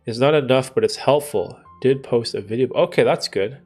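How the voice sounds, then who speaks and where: A man's voice: medium pitch, normal speed, a little mellow, breathy edge, soft background music. A man from Canada hosting a live Q&A stream, talking with a stuffy nose from a cold and rambling through his thoughts with fillers and restarts. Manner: rambling, thinking aloud with many fillers and restarts. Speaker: livestream host answering chat. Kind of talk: casual live Q&A chat.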